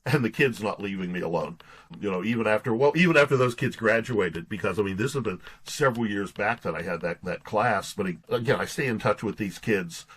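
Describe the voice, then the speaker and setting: A man's voice: fairly low pitch, lively intonation, fairly quick, fairly bright tone, breathy edge. A man in his sixties telling stories casually as an interview guest, in a folksy, earnest way with stop-and-start phrasing. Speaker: podcast guest, pastor and author.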